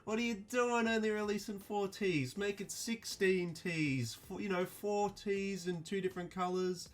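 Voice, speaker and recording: A man talking casually to his viewers, reviewing a clothing release in a flat, mildly disappointed way with lots of ums and restarts. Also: high-pitched, measured pace. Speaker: streetwear vlogger.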